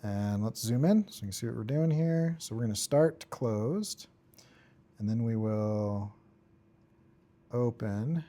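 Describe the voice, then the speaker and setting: Guy doing a screen tutorial, mellow relaxed voice, kinda mumbles thru steps in short bits. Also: mid-range voice, slow pace, pauses often. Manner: offhand, talks in short fragments while working. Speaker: tutorial instructor. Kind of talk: informal screen-recorded software tutorial, thinking aloud while working.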